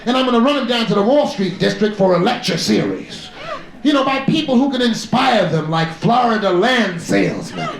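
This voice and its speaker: A man's voice: high-pitched, very animated, normal speed, a crowd in the background. A man performing a satirical stand-up comedy monologue as a comedian before a live audience. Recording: echoey live club, loud audience.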